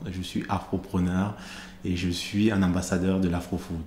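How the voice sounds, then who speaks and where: A man in his thirties from Cameroon, being interviewed on a podcast and answering in a measured, thoughtful way with frequent hesitations, into a studio microphone. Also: deep voice, flat delivery, darkish tone, roomy sound, a little hiss or hum. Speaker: podcast host, interviewed guest. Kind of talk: conversational interview. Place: studio set with plants and glass-block wall. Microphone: studio mic on stand.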